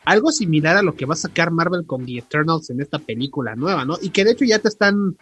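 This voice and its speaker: A man's voice: on the high side, lively intonation, fast talker, a bit bright, husky. A Mexican man hosting a podcast, chatting casually with slangy, profane, joking banter. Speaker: podcast host. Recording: podcast with music playing.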